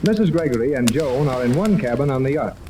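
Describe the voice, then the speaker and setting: A man's voice: mid-range voice, expressive, quick pace, close to an everyday voice. A man narrating a 1930s radio serial as its dramatic announcer, in scripted recap style. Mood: dramatic, solemn. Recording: echoey, distant, noisy old 1930s radio.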